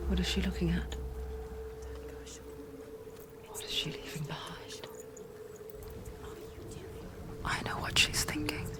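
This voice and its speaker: A woman playing a knowing narrator spirit in a video game, confiding slowly and intimately to the listener in a breathy whisper. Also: lowish voice, sing-song.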